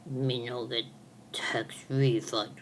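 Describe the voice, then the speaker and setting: Woman doing a low, glum, dim-witted man. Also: flat delivery, takes it slowly, a little hiss or hum. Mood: glum, confused. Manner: broken caveman-like grammar. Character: slow-witted, glum, childlike man believing in fairies. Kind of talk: homemade storybook skit.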